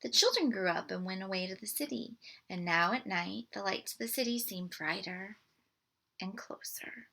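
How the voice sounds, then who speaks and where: A woman reads a picture book aloud for children. Her voice is bright but husky, with a creaky edge. She is expressive and deliberate, as a read-aloud presenter addressing young listeners. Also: medium pitch.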